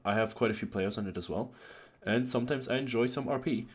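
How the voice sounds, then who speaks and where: A man's voice: medium pitch, fast, slightly rough. A man from Germany hosting a casual online podcast, rambling, swearing freely and chuckling with his friends. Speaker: podcast host.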